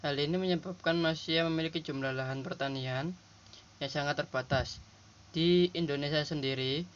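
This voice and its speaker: A young man giving a halting, polite class presentation over slides, his voice hoarse from a cold, recorded while minding a small shop. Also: a little high, a bit bright. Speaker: student presenter. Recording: lo-fi home recording.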